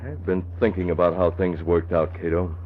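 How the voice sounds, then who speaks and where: An actor playing a cool, resolute masked vigilante in an old radio crime drama. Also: male voice, sits low, moderate pace. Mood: grave, determined. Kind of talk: 1940s radio acting. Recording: muffled, tinny old radio recording, faint hiss.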